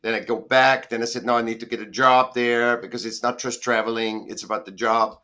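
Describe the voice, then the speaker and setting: Emphatic man, bright voice. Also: medium pitch, little pitch movement, a little fast. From Austria. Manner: rambling, emphatic, self-correcting. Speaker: guest artist. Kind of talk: casual interview talk. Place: home in Southern California.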